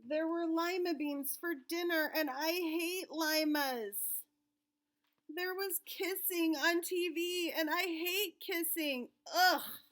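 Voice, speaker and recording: Woman acting sulky young boy, storybook character, raised high pitch, whiny, emphatic complaints. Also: fairly even intonation, slow pace, fairly bright tone, exaggerated voice. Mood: disgusted, sulky. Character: aggrieved, sulky young boy complaining about his day. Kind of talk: picture-book character narration.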